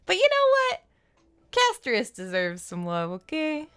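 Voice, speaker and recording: A woman hosting a game livestream, chatting casually in an animated, playful, rambling way. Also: a little high, normal speed, clear, resonant tone. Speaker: game streamer and host. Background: background music.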